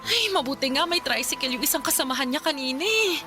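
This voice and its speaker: A high-pitched, worried mother. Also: expressive, quick pace, music in the background. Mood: anxious, hurried. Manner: plaintive, sighing interjections. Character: worried, tearful mother of a struggling poor family. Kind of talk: Filipino radio drama acting.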